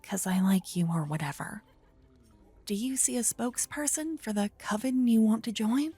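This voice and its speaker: Soft, breathy adorable paladin girl, acted by a woman. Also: fairly high pitch, lively intonation, normal speed, faint music behind. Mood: teasing, bashful. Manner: playful, soft, stammering when flustered. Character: adorable young woman, aspiring paladin, caring, flustered, teasing. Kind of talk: ASMR roleplay acting.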